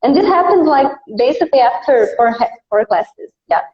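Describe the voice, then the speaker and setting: A Brazilian woman with a high voice and a Brazilian Portuguese accent talks in a relaxed, easygoing way, leaning on "like" and "uh". The sound is roomy and a little dull. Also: normal speed. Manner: relaxed, easygoing, frequent fillers like "like" and "uh". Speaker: English teacher, guest. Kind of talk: casual interview chat.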